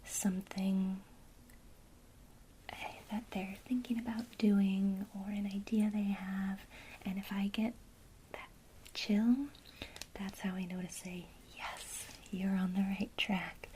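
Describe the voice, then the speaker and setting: A woman whispering an ASMR witchcraft lesson, gently encouraging a listener she addresses as her student. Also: mid-range voice, flat delivery, slight background noise, poor audio. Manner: speaks to the listener as a student, pausing for imagined replies.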